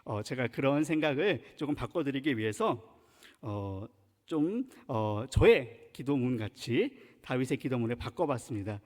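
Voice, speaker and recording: A man's voice: mid-range voice, animated, moderate pace, darkish tone. A male pastor from Chungcheong with a Chungcheong Korean accent, preaching a sermon in an earnest, emphatic manner. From Chungcheong, South Korea. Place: church sanctuary. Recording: long reverb in church sanctuary.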